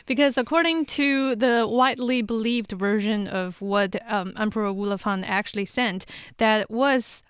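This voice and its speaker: A woman's voice: a little high, moderate pace, hardly any pauses, clear, resonant tone, dry recording, clean studio sound. A woman hosting a casual podcast history quiz, reading out questions and explaining the answers in a chatty way.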